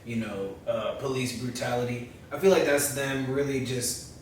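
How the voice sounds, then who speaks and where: A man chats loosely as he hosts a reaction video, cursing now and then. His voice sits at a middle pitch. It bounces around a very echoey room, picked up from well away from the mic with faint noise underneath. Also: steady tone, normal speed, distant mic. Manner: loose, chatty, swears casually. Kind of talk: casual reaction commentary.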